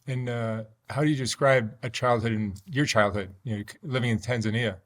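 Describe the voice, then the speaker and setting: Fast-talking guy interviewer, curious and direct. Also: medium pitch. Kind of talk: documentary interview questions.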